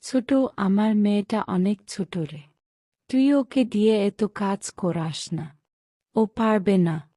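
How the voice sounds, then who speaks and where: A woman voicing a weak, bedridden, gentle mother in a cartoon, pleading with sad resignation. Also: medium pitch, slow, no room sound, studio quality, natural voice. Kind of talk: cartoon voice acting.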